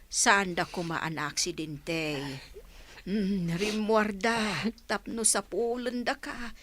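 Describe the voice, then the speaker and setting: Bright-voiced, forthright village aunt. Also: female voice, mid-range voice, takes it slowly, a little hiss or hum. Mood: chiding, concerned. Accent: Filipino (Ilocano). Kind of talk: Ilocano radio drama acting.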